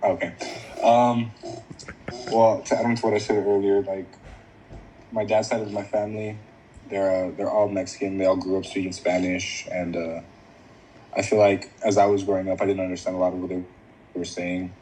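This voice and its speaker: A young man speaking casually on a podcast in a low-key way. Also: little pitch movement, moderate pace, warm tone, slight background noise, poor audio. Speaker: podcast guest.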